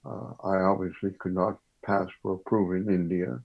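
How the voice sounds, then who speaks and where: Older man with a gravelly, husky voice. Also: low voice, flat delivery, narrow, tinny audio. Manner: measured, slightly formal phrasing. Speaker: professor guest. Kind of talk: reflective interview talk.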